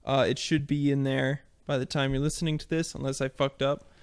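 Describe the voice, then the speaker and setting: A man hosting a podcast, relaxed and chatty as he explains segments and plugs the show. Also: medium pitch, a little fast, clear, resonant tone, no room sound. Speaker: podcast host.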